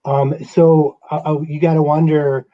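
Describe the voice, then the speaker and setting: A man from Guatemala whose first language is Spanish, hosting a casual interview with a relaxed, stammering delivery. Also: fairly high pitch, measured pace, lo-fi sound. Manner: relaxed, hesitant with stammered restarts. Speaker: radio host.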